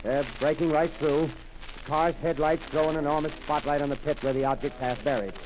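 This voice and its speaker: An actor playing a radio commentator at a crash site in a 1930s radio drama, describing the scene live in an eager, halting way with many fillers, heard in a noisy old broadcast recording. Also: male voice, on the high side, steady tone, natural voice. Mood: eager, breathless. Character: excited male radio field reporter at a crash site.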